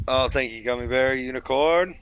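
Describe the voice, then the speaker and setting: A man streaming live in-character roleplay as a bookish, reclusive high elf mage in a fantasy game. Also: moderate pace, a bit bright, slight background noise.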